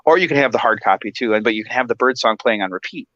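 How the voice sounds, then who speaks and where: A man's voice: medium pitch, lively intonation, racing, a bit bright, dry recording, dull audio. Chatty man in his thirties from Michigan. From Michigan, USA. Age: 30s. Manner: chatty, laughs often, many restarts. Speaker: guest. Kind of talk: casual chat.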